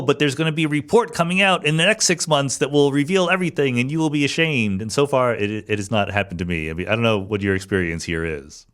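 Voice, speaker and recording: A man's voice: mid-range voice, a bit bright. A man hosting a podcast interview in a conversational, informal way with wry, playful phrasing. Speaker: podcast host.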